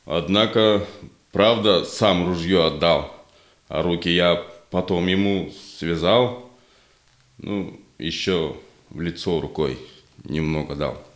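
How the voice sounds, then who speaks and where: Rough, gruff male Cossack for verse radio play, played by an actor: very slow, grudging, set in echoey space. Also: deep voice. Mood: gruff, sheepish. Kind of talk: theatrical verse drama acting.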